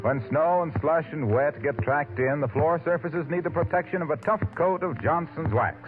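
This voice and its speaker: A man's voice: a little high, moderate pace, over music. A man reading a 1940s radio commercial as the announcer. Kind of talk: 1940s radio advertising read. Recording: tinny old radio recording.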